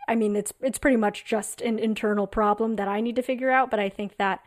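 A woman, a comedian, musing thoughtfully in a casual panel conversation, quick and full of fillers. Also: mid-range voice, steady tone.

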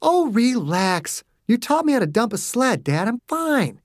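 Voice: mid-range voice, sing-song, normal speed, no room sound, clean studio sound, pitched above the performer's own voice, natural voice. A narrator voicing a cheeky, carefree young female daredevil who teases and pleads with her father in an audiobook story. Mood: breezy defiance. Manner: teasing, pleading with her dad.

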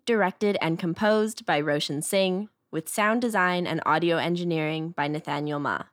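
Low-voiced woman reading scripted credits. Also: no room sound, studio quality. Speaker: credits announcer.

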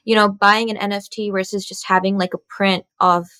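A woman's voice: mid-range voice, little pitch movement, a little fast, pushed and bright, dry recording. A woman interviewer asking loosely worded questions, searching for her phrasing with fillers.

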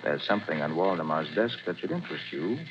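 An actor playing a confident private detective in a 1940s radio drama, talking in a brisk, assured way. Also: male voice, very deep voice, lively intonation. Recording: dull lo-fi 1940s radio recording with loud hiss.

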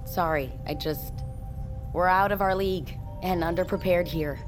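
A woman playing a blunt, defiant submersible pilot in a naturalistic audio drama, forceful and argumentative. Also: lowish voice, normal speed, music in the background, close to an everyday voice. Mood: frustrated, insistent.

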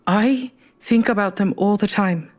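A woman's voice: medium pitch, a little slow, close to an everyday voice. An actress playing a troubled, guilt-ridden woman who keeps pressing, in an audio drama.

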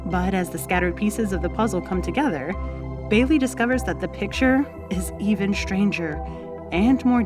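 A woman podcast host reading a scripted promo in a conversational style. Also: medium pitch. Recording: music under the voice. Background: prominent music.

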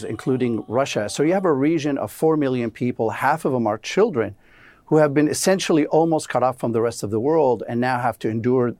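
A Syrian-born man speaking as an expert guest on a news show. He explains the situation earnestly and gravely, at a mid-range pitch. The recording is dry and close. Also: darkish tone, no room sound. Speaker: interview guest, policy expert. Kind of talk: news interview answers.

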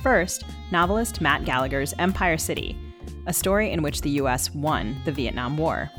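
A woman's voice: low voice, lively intonation, normal speed, a bit bright, soft background music. A woman co-hosting a literary podcast, delivering prepared questions and announcements. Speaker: podcast co-host.

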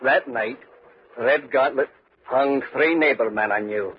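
A man's voice: medium pitch, measured pace, close to an everyday voice. An actor playing a humble, uneasy tenant farmer who tells his tale in earnest, folksy 1940s radio style. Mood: grave, haunted. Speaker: narrator and lead character. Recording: muffled, noisy 1940s radio recording.

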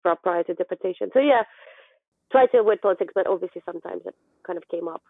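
A woman's voice: lowish voice, fast, creaky, breathy edge, no room sound. Woman on a tinny phone line. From southern Ukraine. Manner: hesitant, full of restarts and fillers. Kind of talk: casual interview chat.

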